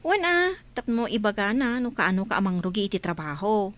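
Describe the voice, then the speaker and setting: A woman's voice: mid-range voice, brisk, lightly acted. A woman playing a cheerful, chatty young woman in an audio drama. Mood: bubbly.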